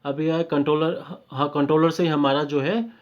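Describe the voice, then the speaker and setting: A man's voice: on the high side, fairly even intonation, normal speed. A man with an Indian accent giving an informal, step-by-step technical tutorial, explaining repetitively with short confirmations and mixing in English technical terms. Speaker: technical trainer. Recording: dull lo-fi microphone sound.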